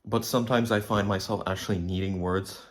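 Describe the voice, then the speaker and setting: Low-voiced young man. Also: steady tone, brisk. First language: Polish. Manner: rambling, blunt, swears freely. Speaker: vlogger. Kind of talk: casual monologue to camera.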